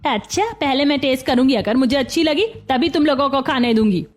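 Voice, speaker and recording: Old grandmother for a cartoon story, woman voice actor: cautious, plain-spoken, admonishing, highish voice. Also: brisk, faint music behind. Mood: stern. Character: cautious, bossy old grandmother of a poor family. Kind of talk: Hindi cartoon story acting.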